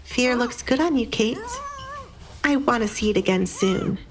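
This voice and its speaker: A woman's voice: a little high, measured pace, pitched above the performer's own voice, close to an everyday voice. Woman acting a cold, defiant young noblewoman. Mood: cold, threatening. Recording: background music under the voice.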